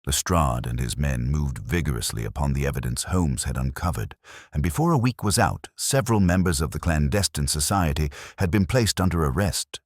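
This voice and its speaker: A man reading a first-person detective story as narrator, in a formal, measured storytelling manner. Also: very deep voice, animated, no echo, studio quality. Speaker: audiobook narrator.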